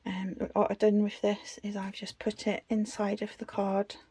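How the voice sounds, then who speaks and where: Even-toned British woman, chatty crafter. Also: mid-range voice, moderate pace, breathy edge, no room sound. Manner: chatty, self-deprecating. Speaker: craft video host. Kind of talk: casual unscripted craft tutorial.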